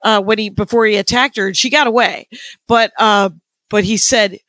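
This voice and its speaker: Hoarse, dry-voiced woman comic. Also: mid-range voice, steady tone, moderate pace, a bit bright, no echo. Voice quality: scratchy voice. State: hoarse, tired. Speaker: comedian podcast co-host. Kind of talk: casual chat.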